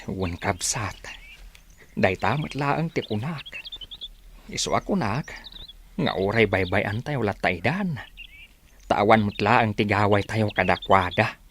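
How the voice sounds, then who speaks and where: A man playing a troubled, resigned villager who laments in a deliberate way in a radio drama. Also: fairly low pitch, lively intonation, a bit bright, a little hiss or hum, full character voice. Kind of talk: Ilocano radio drama acting.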